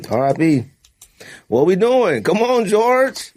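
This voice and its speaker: A man's voice: sits high, big pitch swings, takes it slowly, noisy background. A man hosting a casual podcast, joking and laughing often as he banters with friends, with slangy talk. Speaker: podcast host.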